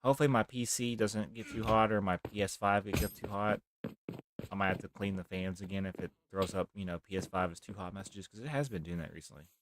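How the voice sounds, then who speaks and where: A young man with a deep voice, rambling softly through casual live gameplay commentary as a streamer. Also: a little fast, noisy background. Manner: rambling, talks softly, near whispering.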